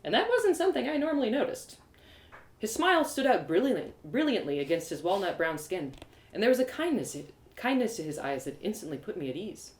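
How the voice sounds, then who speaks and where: A woman narrating a first-person story live, in an expressive, theatrical storytelling manner. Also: medium pitch, brisk, echoey room. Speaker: storyteller, audio drama creator.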